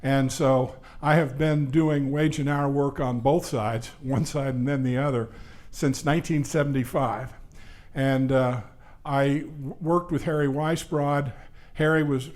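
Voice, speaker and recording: A man in his sixties presenting a legal seminar at a conference, talking loudly and quickly with frequent "uh" fillers. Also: mid-range voice. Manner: loud, brisk, conversational lecturer with frequent "uh" fillers. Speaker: labor law attorney presenting a seminar. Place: conference session room. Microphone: handheld or stand microphone.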